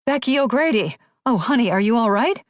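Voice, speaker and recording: A woman's voice: fairly high pitch, lively intonation, fairly quick, no echo, pitched above the performer's own voice, natural voice. A woman narrator acts out a tough female police officer in a thriller audiobook. She is commanding and protective, her voice raised and pressing, recorded close and dry. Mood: soothing, worried. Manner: urgent, forceful commands. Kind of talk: audiobook character dialogue.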